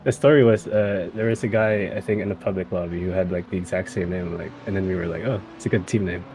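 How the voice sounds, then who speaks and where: A man's voice: sits low, steady tone, fast talker, a little mellow, a little hiss or hum, rough recording. A man from Vancouver, chatting casually and a little hesitantly as an interviewed driver. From Vancouver, British Columbia, Canada. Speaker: sim racing driver being interviewed. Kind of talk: casual chat.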